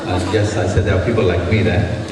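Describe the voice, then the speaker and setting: A man's voice: low voice, level tone, a little fast. A middle-aged man from Tanzania of Indian origin giving a conference speech, with an emphatic manner that repeats phrases for effect. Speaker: university lecturer, conference speaker. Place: large conference hall. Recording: very echoey hall, distant mic, clear noise.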